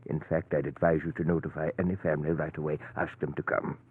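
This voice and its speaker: A grave family doctor in a 1940s radio drama, speaking formally and with measure. His voice is very deep and husky, on a muffled old recording. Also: little pitch movement, quick pace.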